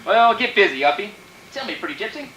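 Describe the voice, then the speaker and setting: A man as a fast-talking brash wisecracker. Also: high voice, big pitch swings, bright, forceful tone, exaggerated voice. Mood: brash, joking. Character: wisecracking eager cocky everyman husband. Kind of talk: 1940s radio comedy acting. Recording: echoey stage, distant, faint noise.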